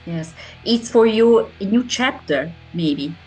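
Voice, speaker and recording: A woman interviewer who is not a native English speaker, asking questions haltingly as she searches for words. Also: mid-range voice, animated, darkish tone, faint music behind, rough recording.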